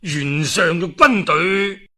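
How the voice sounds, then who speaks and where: Man acting out a forceful, theatrical warlord. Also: sits high, slow, heavily acted. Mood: probing. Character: powerful male warlord, shrewd, probing, hearty.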